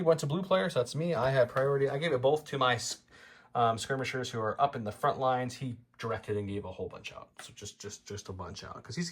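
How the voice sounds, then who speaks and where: Husky male player, quick chatty play-by-play of a tabletop battle. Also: mid-range voice. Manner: fast, chatty, explaining moves and dice rolls. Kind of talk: casual battle report play-by-play.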